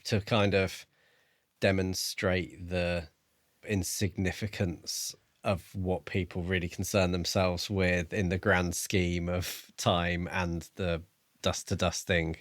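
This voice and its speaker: A man's voice: low voice, level tone, slow, no room sound, studio quality. A man co-hosting a podcast, speculating in a musing way during a casual book discussion. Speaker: podcast co-host.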